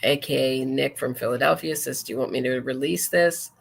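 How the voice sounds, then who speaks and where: A woman's voice: rumbling low, fairly quick, vocal fry, slightly rough. A woman hosting a true crime talk show, commenting casually and reading messages aloud, often digressing and correcting herself as she goes. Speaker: podcast host.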